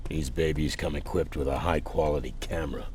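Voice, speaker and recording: Casting: businesslike male supply sergeant, rock-bottom bass, gruff and streetwise, video game, background ambience. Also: fairly even intonation, a little fast, a little mellow, slight background noise.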